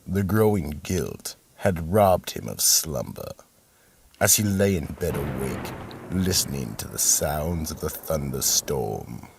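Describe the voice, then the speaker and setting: A man playing an ominous narrator of a radio mystery, with a very deep, gravelly, raspy voice and deliberate storytelling. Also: slight background noise, heavily acted. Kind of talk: scripted radio drama narration.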